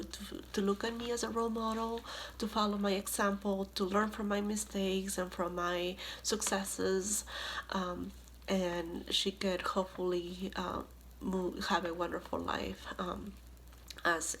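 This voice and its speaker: A woman's voice: mid-range voice, a little hiss or hum, low-quality recording. Middle-aged woman giving an informal career talk. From Ecuador, moved to New York City as a child. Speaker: guest career speaker, finance professional and board member.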